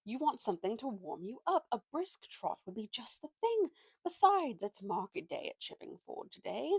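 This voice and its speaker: A woman's voice: fairly high pitch, big pitch swings, moderate pace, husky, no echo, pitched above the performer's own voice, full character voice. A woman voicing a manipulative, self-pitying male talking ape in a storybook, with a bossy, wheedling, indignant manner. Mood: coaxing.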